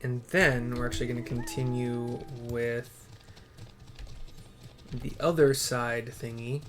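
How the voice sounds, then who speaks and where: A man's voice: medium pitch, flat delivery, takes it slowly. A man giving casual game commentary, rambling and muttering to himself as he plays. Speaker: gaming streamer. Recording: faint noise with keyboard typing.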